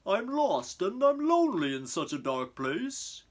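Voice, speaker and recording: A man's voice: sits high, sing-song, measured pace, voice pushed up. A man voicing a lost, lonely little rhinoceros in a storybook, worried and plaintive.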